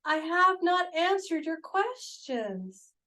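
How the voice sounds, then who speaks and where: Woman doing a pompous male poet, storybook, theatrical, self-important, easily offended, high, swooping pitch. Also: big pitch swings, slow pace, bright, forceful tone, full character voice.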